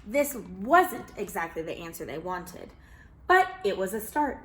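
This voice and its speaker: Animated woman reading a picture book. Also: medium pitch, a little slow, slight background noise. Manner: animated, expressive, addresses listeners as friends. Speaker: children's storyteller. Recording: roomy echo.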